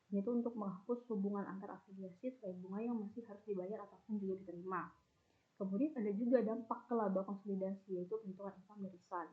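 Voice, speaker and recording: A narrow, phone-quality recording carries a young woman. Her pitch sits in the middle for a woman. She explains her material like a student giving a class presentation. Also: fairly even intonation, fast talker. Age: young adult. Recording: muffled, phone-like narrow band.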